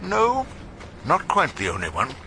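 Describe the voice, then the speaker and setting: A man playing a wry, irascible old barrister in a radio drama, with an English accent and an unhurried, ironic delivery. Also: fairly high pitch, wildly swooping pitch, creaky, noisy background, exaggerated voice. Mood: probing, dry. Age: older. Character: irascible, wry old male barrister narrating his cases. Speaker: barrister and narrator. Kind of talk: radio drama dialogue and first-person narration.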